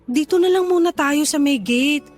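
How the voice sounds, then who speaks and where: A wary, doubting wife voiced by an actress speaks in natural drama dialogue. Her Filipino-accented voice is a little high, questioning and hesitant, with soft music behind it. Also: moderate pace, natural voice. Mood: doubtful, uneasy. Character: cautious, doubtful wife and sister-in-law. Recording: faint music under dialogue. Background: faint music.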